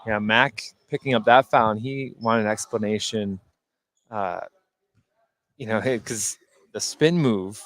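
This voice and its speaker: Mid-pitched man giving halting basketball analysis. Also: noisy background. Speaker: color commentator. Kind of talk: live basketball analysis, conversational.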